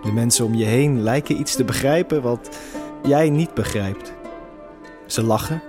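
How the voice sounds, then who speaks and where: A man's voice: medium pitch, measured pace. A man narrating an immersive audio drama in the second person, with a suspenseful storytelling manner. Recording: music behind the voice. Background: music.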